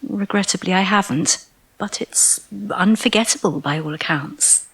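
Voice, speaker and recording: Casting: eager young sweetheart, audio drama. Hushed and breathy, gushing, coaxing, soft-hearted. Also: female voice, medium pitch, normal speed, poor audio. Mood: playful, curious. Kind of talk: intimate audio drama acting.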